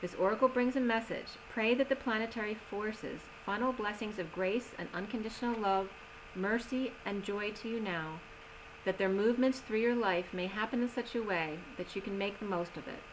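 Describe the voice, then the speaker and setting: A woman giving a tarot reading in a slow, soothing manner, slightly strained as she is losing her voice. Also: medium pitch, a little hiss or hum, rough recording. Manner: slow, soothing reading of oracle cards with gentle pauses. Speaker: tarot reader. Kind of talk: solo tarot reading video.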